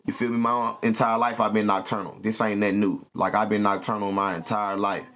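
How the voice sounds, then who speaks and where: A man telling animated, slangy stories to his listeners. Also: lowish voice, a little fast. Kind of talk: animated comic storytelling. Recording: muffled lo-fi recording.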